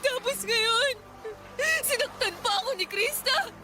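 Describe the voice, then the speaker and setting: A woman's voice: very high-pitched, a little slow. An actress playing a betrayed young office woman, emotional and confrontational, in a Filipino radio drama. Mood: angry, tearful. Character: pregnant, betrayed young office woman, wounded but feisty.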